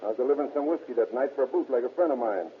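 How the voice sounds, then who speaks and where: An actor playing a cocky, sarcastic hoodlum of about thirty in an old radio drama, evasive and defiant. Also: male voice, medium pitch, rapid-fire, lightly acted. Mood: sneering. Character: cocky, flashy thirtyish hoodlum, sneering at police. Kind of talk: old-time radio acting. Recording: muffled, scratchy old radio recording.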